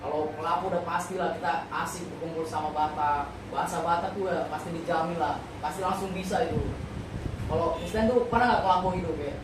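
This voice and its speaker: A young man with a high voice talks quickly in an easygoing, agreeable way. He is recorded far from the mic in a strongly echoing room. Clear background noise sits under his voice. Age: young adult. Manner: easygoing, agreeable, lots of fillers. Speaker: university student co-host. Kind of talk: casual podcast chat.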